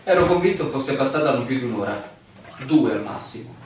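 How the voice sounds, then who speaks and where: In a very echoey, distant radio play recording, a weary male stranger recounts his bewildering walk , as acted by a man. His pitch swings animatedly as he tells it with deliberate, theatrical emphasis. There is a faint layer of noise. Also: lively intonation, natural voice. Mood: puzzled. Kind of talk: theatrical radio drama monologue.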